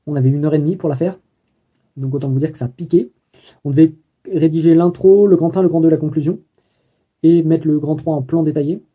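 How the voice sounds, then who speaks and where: A young man recaps his day for a video diary, his voice very dark and mellow and sitting a touch high. He talks hesitantly, filling pauses and correcting himself as he goes. The delivery stays casual and personal. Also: steady tone, dull audio. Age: young adult. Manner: hesitant, many fillers and self-corrections. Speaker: student vlogger.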